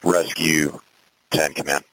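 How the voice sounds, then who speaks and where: A man's voice: rumbling low, level tone, slow, fairly bright tone, no room sound, low-quality recording. A man working as a fire incident commander, giving clipped, composed orders over a two-way radio. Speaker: fire battalion chief acting as incident commander. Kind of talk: procedural emergency radio dispatch traffic.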